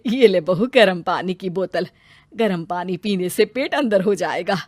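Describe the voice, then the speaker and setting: A woman's voice: on the low side, lively intonation, unhurried, no echo, studio quality. A woman voicing a doting older mother-in-law who coaxes in a motherly way in a family cartoon. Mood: coaxing. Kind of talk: Hindi moral-story cartoon dubbing.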